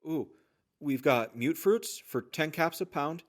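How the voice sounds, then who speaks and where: A man's voice: a little high, animated, takes it slowly. A man playing a chatty, obliging general-store trader in a post-apocalyptic audio drama, full of eager sales patter. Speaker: voice actor playing a shopkeeper.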